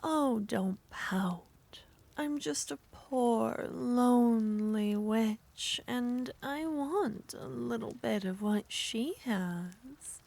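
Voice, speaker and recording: A woman voicing a seductive, possessive witch, speaking softly in half whispers with a teasing manner in an ASMR roleplay. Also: a little high, drawn-out words, gravelly, dry recording.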